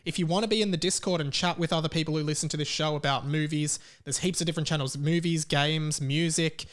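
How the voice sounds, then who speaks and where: A young Australian man hosts a casual solo podcast. His voice sits a little higher than most men's. He talks in a rambling, conversational way, often repeating words for emphasis. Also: fairly even intonation, fast talker. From Melbourne, Australia. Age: 20s. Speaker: podcast host.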